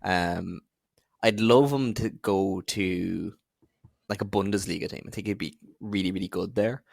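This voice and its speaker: A man with a lowish voice full of vocal fry chats casually about football as a podcast co-host. The sound is close and dry. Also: fairly even intonation, a little slow, darkish tone, no echo.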